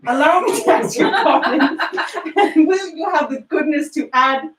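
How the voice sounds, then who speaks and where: A woman's voice: sits high, a little slow, slight background noise. A woman playing a reformed old-story miser, a man, in an effusive, exclamatory theatrical reading. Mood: excited, generous. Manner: exclamatory, effusive, breathless repetition. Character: reformed miser hero, giddy, frantic, overjoyed man. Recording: roomy, distant microphone.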